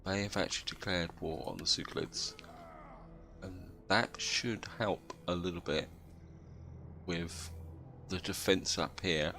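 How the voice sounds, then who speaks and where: A man with a cold and a slightly croaky voice, calmly explaining his moves as he plays a strategy game. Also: low voice, slow pace, pauses often. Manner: steady, explanatory, thinks aloud. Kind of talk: gameplay commentary.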